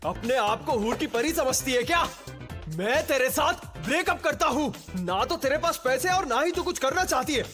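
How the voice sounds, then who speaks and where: Guy doing cocky sleazy teen cartoon boyfriend, brash, high lively voice, over music. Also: normal speed. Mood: scornful. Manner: contemptuous, brash. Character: arrogant, sleazy, money-grubbing teenage boyfriend. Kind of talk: Hindi anime dub acting. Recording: music behind the voice.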